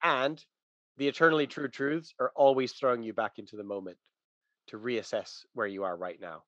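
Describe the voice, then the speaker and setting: A man's voice: medium pitch, steady tone, moderate pace, a bit bright, dry recording, dull audio. A man talking through religious ideas in a casual, conversational but emphatic teaching manner.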